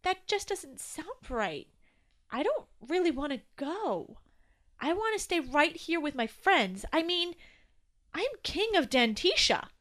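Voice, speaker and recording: Woman voicing an anxious little storybook tooth, high-pitched. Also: sing-song, measured pace, sharp tone, exaggerated voice. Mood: confused, pleading. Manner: worried, pleading, polite. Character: anxious young male baby tooth, small and naive. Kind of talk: acted children's story dialogue.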